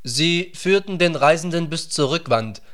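A man narrating a literary radio play from a script in a measured, grave manner. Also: a little high, lively intonation, a bit bright.

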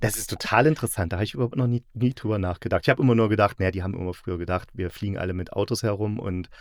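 A man's voice: on the low side, fairly even intonation, rapid-fire, no echo, studio quality. A man hosting a podcast in a casual, conversational chat. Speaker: podcast host.

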